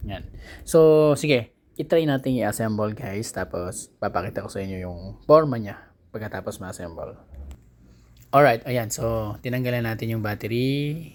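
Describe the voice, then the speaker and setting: A man's voice: on the low side, normal speed. A man with a Filipino accent giving a casual unboxing and review, informally explaining things step by step to his viewers. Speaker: vlogger, product reviewer.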